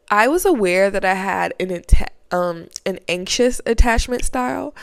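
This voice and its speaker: A woman's voice: fairly low pitch, vocal fry, dry recording, clean studio sound. A woman talking casually to camera in a sit-down vlog, chatty and rambling, a bit sniffly from a cold. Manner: chatty, rambling, frequent fillers and slang. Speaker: vlogger. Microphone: camera mic, sit-down setup.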